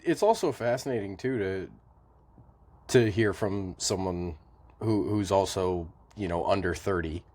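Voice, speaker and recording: A man with a low, deep voice hosting a casual podcast conversation. He speaks in an easy, encouraging way and comes back quickly with follow-up comments. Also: darkish tone. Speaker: podcast host.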